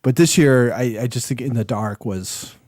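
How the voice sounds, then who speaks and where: Medium-pitched man on a podcast panel. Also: normal speed, a little mellow, no echo, clean studio sound. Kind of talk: casual panel discussion.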